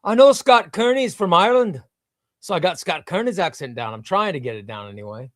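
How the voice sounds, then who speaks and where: Brief: male comics stream host, somewhat high voice, dry close mic, eager and repetitive. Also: animated, brisk, no room sound. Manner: enthusiastic, repeats himself, reads viewer comments aloud. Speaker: livestream host. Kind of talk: casual livestream chat reading comments.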